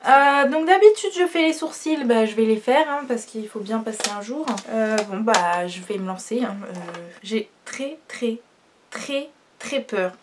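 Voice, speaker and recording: A young woman with a stuffy nose from a cold, chatting casually through a makeup tutorial with many fillers and trailing off quietly. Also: on the high side, expressive, unhurried, a little hiss or hum. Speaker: beauty vlogger.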